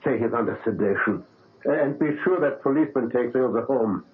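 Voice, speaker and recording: A man's voice: medium pitch, natural voice. A man playing a hesitant old surgeon. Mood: grave halting. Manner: halting, hesitant, many uh's. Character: older male surgeon and father, fretful and grave. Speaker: actor in radio drama. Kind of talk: 1970s radio drama acting. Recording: tinny old radio broadcast with faint hiss.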